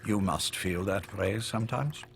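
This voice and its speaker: Low-voiced, genteel sickly spymaster. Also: male voice, gravelly, a little hiss or hum. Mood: genteel, wry. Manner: urbane, measured, wryly polite. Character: genteel, sickly older British male spymaster, courteous but menacing. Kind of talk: dramatic game voice acting.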